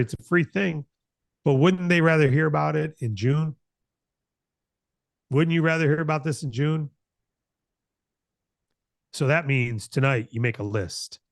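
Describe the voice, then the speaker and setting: A man's voice: medium pitch, vocal fry, dry recording, studio quality. An American man in his late fifties giving an informal motivational business talk, earnest and conversational, repeating phrases for emphasis. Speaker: company founder hosting a broker announcement meeting.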